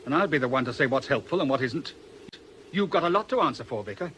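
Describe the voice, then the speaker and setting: Actor as a gruff, blunt police inspector, faint hiss. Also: male voice, mid-range voice, expressive, fast talker, fairly bright tone, close to an everyday voice. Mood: stern, angry. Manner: blunt, stern, sardonic. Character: gruff, impatient, hard-nosed male police inspector. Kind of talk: radio drama acting. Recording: faintly noisy old radio recording.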